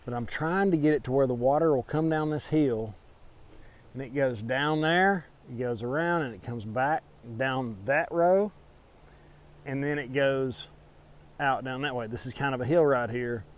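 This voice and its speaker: A man's voice: medium pitch, normal speed. A man vlogging outdoors, casually explaining things as he shows his homestead in an informal way. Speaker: homestead vlogger, channel host. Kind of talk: casual walk-through narration. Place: outdoors in a garden.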